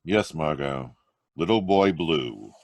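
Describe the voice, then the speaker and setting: Deep male voice, man acting a resolute sleuth, old-time radio mystery style, animated delivery, dry close sound. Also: slow pace, vocal fry, no room sound, exaggerated voice. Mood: tense, grim. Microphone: video-call microphone.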